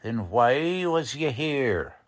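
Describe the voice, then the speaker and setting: A man playing a gruff old-time radio police lieutenant who bosses everyone around. He takes his time, swinging up and down in pitch as he blusters through tough, ungrammatical cop talk. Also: very animated, slow pace. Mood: interrogating. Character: gruff, bossy, uneducated police lieutenant running a murder interrogation. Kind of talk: 1940s radio drama acting.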